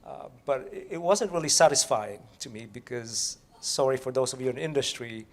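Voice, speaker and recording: A man from the Philippines, a researcher on a panel, speaking haltingly with many restarts. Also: medium pitch. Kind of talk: conference panel talk.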